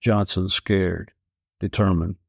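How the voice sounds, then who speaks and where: A man's voice: low-pitched, fairly even intonation, slow, gravelly, a little hiss or hum. A man reading a literary travel story aloud as scripted narration.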